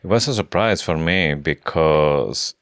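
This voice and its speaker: A man who is a non-native English speaker, co-hosting a casual book discussion podcast with somewhat halting phrasing. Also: rumbling low, a bit bright, dry recording, clean studio sound. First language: not English. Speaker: podcast co-host.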